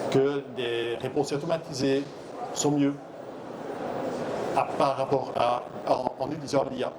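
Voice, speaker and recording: A middle-aged man from Belgium, not a native French speaker, giving a halting trade show talk full of fillers and restarts. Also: mid-range voice. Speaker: conference speaker. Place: trade fair hall. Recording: noisy trade fair hall.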